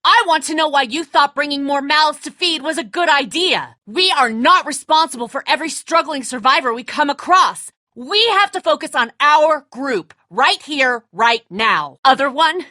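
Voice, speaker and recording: A woman's voice: high-pitched, expressive, moderate pace, hardly any pauses, bright, forceful tone, dry recording, clean studio sound. An actress playing a tense, defensive woman who leads a group of survivors in a dramatic video game, blunt, confrontational and exasperated. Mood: angry, exasperated.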